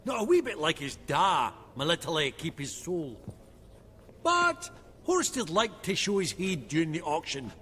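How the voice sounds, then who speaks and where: Bright, brash dwarf banker. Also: male voice, on the high side, sing-song, a little slow, long reverb tail, slight background noise, exaggerated voice. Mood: chatty. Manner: colloquial, blustering, swearing. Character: chummy, bossy dwarf banker, older widower. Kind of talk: video game voice acting.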